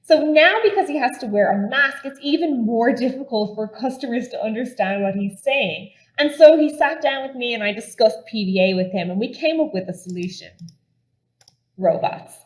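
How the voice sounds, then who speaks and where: A woman's voice: a little high, animated, moderate pace, reverberant, low-quality recording. An Irish woman giving an upbeat, step-by-step product demo at a conference. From Ireland. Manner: upbeat and enthusiastic, explaining step by step. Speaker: conference presenter.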